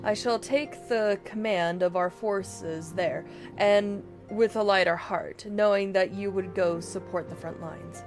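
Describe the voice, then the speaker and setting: A woman's voice: mid-range voice, fairly even intonation, measured pace, few pauses. A woman livestreamer reading fantasy game dialogue aloud in a dramatic way, with game music behind her.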